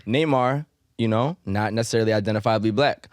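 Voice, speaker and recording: A man's voice: fairly low pitch, brisk, no room sound, clean studio sound. A man co-hosting a football podcast, talking heatedly and with emphasis in casual slang. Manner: heated and emphatic, keeps saying "bro". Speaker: podcast co-host.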